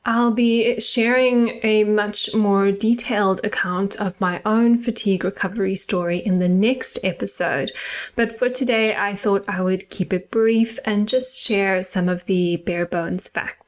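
A middle-aged woman from South Africa with a South African accent, hosting her own podcast in a measured, earnest, explanatory way. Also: medium pitch, steady tone. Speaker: podcast host and nutritional therapist. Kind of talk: scripted solo podcast monologue.

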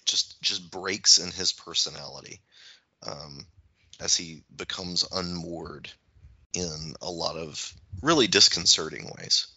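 A man with a very low voice and a hard, forceful edge. He talks as a podcast panelist, feeling his way through sentences and repeating words as he goes. The recording is dry and a little dull. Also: breathy edge, dry recording. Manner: halting, searching phrasing with repeated words. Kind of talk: reflective podcast discussion.

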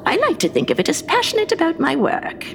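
A woman playing an evil queen disguised as a cackling old hag in a comic radio play, with a cracked, breathy voice. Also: sits high, huge pitch swings, quick pace, slight background noise, heavily acted. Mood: testy, scheming. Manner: gleefully wicked, theatrical.

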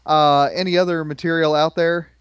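A man's voice: a little high, normal speed, smooth, no echo. Thirties male podcast host, easygoing chat, clean resonant tone, close dry mic. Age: 30s. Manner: relaxed, chuckling, conversational. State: slightly hoarse. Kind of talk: casual chat.

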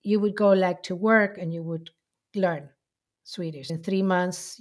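A middle-aged woman with an Argentine Spanish accent, telling her life story in a slow, heartfelt way with many pauses. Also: low voice, darkish tone. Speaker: interviewee. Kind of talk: reflective personal storytelling.

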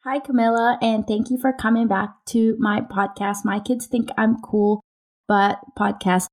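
A woman's voice: on the high side, flat delivery, measured pace, darkish tone. A woman hosting a podcast, chatting in a casual, upbeat way and often restarting her sentences. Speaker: podcast host. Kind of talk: casual interview chat.

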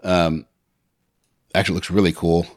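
A man's voice: low-pitched, level tone, normal speed, no echo, clean studio sound. A man hosting a casual interview, asking loose, enthusiastic questions with frequent restarts. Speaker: podcast host.

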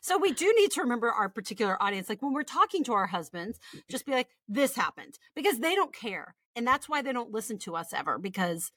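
A lively American woman with a bright, forward voice, recorded close and dry. She co-hosts a casual podcast, reading stories with animation and dropping in irreverent, sweary asides. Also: on the high side, quick pace, no room sound. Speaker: podcast co-host.

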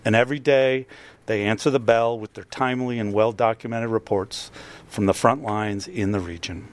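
A man's voice: on the low side, steady tone, normal speed. A man, a newspaper editor, reading a scripted announcement.

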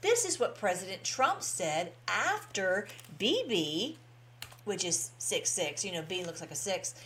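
A woman's voice: medium pitch, very animated, a little slow, a bit bright, a little hiss or hum. Emphatic, folksy woman giving informal commentary. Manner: folksy, emphatic, repeats phrases for stress. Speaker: host of a political commentary show. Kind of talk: informal political commentary to followers, reading out posts.

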